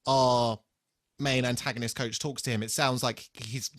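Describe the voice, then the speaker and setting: A man co-hosting a podcast in casual chat, telling personal anecdotes in a sweary, halting, self-deprecating way. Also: mid-range voice, steady tone, a bit bright. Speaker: podcast co-host.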